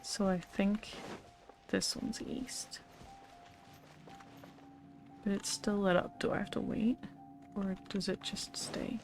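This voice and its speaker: A woman's voice: medium pitch, flat delivery, slow, creaky, long pauses, soft background music. A woman streaming a game, casually muttering to herself as she works out a puzzle.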